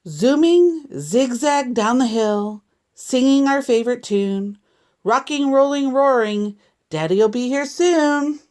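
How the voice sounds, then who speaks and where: A woman reading a picture book aloud to young children in an expressive, deliberate, teacherly way. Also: high-pitched. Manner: deliberate, animated reading with sound effects and asides to listeners.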